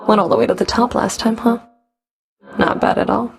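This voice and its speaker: Creaky cool underworld fury for a video game, dry sarcasm, clipped and stern. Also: female voice, on the high side, steady tone, moderate pace. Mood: dry, teasing. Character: stern, sardonic Fury, underworld enforcer with a whip. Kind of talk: video game character voice acting.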